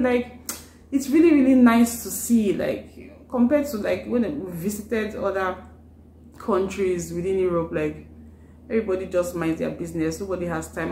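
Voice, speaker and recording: A woman's voice: medium pitch, a little mellow. A young woman with a Nigerian accent, chatting casually to the camera from her living room and saying "like" often. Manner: chatty, says "like" constantly, gestures a lot. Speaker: vlogger. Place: living room with a sofa, indoors. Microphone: camera in front of her. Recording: roomy sound with faint background hum.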